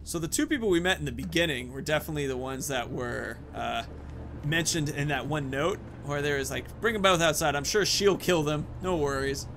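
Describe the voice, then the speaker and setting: A man with a slightly high voice records casual gameplay commentary over audible noise and game ambience. He muses aloud to himself and drops in joking asides. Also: fairly quick. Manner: musing aloud, joking asides, reads notes aloud. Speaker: let's play host.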